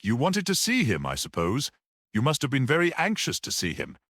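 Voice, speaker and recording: A man's voice: mid-range voice, wildly swooping pitch, a little fast, fairly bright tone, no echo, clean studio sound, voice pushed up. A man voicing a gaunt male prosecuting barrister in an audiobook, incredulous and insistent in his questioning.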